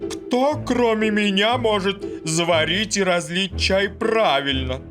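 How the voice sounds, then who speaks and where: Puffed-up china teapot. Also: male voice, high voice, slow. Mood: boastful. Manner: boastful, self-important. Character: pompous pot-bellied male china teapot. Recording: studio recording over music.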